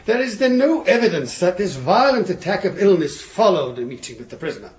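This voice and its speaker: A high-pitched male barrister in a courtroom radio play. He is persuasive and rhetorical, his pitch sweeping up and down. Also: very animated, brisk. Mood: probing, rhetorical. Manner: rhetorical, courteous but pointed. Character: eloquent, theatrical male defence barrister, shrewd and persuasive. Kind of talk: period courtroom radio drama acting.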